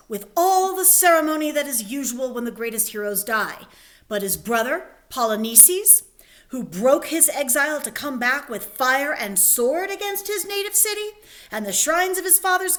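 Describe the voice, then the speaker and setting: A woman's voice: high-pitched, a bit bright. A woman reading the part of a stern male king who hands down commands in a formal, proclaiming way. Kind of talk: dramatic reading of a play.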